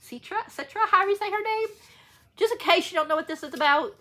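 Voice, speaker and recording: Chatty woman with a bright voice. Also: extremely high pitch, animated, quick pace. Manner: chatty, rambling, self-correcting. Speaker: book vlogger hosting a read-along. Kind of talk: casual unscripted chat to viewers.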